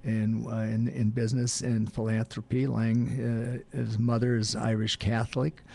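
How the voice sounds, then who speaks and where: A gravelly older man rambles through a guest introduction on a radio talk show, stopping for fillers and half-finished thoughts. His pitch sits in an ordinary middle range. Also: flat delivery, moderate pace, a little mellow. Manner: rambling, hesitant with many fillers.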